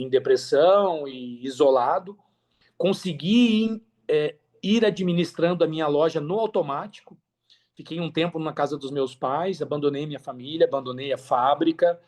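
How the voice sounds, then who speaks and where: Brazilian man, fairly high-pitched, talkative, candid conversational storytelling, slangy, frequent tag questions. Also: darkish tone. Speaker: podcast guest.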